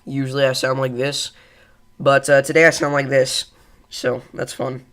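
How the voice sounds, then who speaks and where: A man's voice: medium pitch, flat delivery, moderate pace, a bit bright. A young man with a congested voice, hosting a live weather stream in a casual, rambling way with many fillers and chatting with viewers. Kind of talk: live weather coverage, reading chat.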